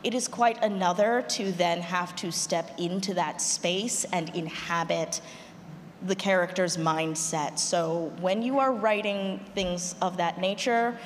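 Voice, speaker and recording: Female panelist, slightly low register, considered delivery to an audience, noisy live-hall recording. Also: measured pace. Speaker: panelist, audio drama creator and actor. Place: large room at a convention panel. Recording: echoey hall, clear background noise.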